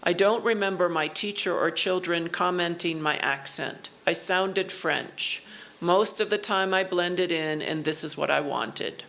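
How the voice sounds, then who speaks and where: Slow, low-voiced woman giving a lecture. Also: vocal fry, fairly bright tone. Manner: slow, measured, pausing for the interpreter. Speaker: keynote lecturer. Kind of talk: academic lecture in English with consecutive interpreting. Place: university auditorium.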